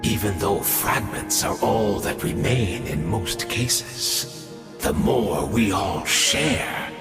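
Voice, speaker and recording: An actor playing a grandiose, scheming centuries-old villain in a video game, with theatrical, mock-courteous delivery and a gravelly voice. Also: male voice, lowish voice, lively intonation, heavily acted. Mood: measured, mock-apologetic. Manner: theatrical, measured, mock-courteous, swelling into fervour. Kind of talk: dramatic video game voice acting. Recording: echoey hall reverb under music. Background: game score music.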